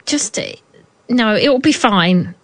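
A woman's voice: on the high side, expressive, measured pace, no room sound. A woman co-hosting a radio show, teasing and bantering playfully. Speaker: radio co-host. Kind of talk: casual on-air banter.

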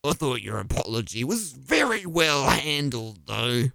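A man doing a deadpan, skeptical sidekick. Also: mid-range voice, measured pace, vocal fry. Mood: wry. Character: male sidekick Roland, dry and skeptical.

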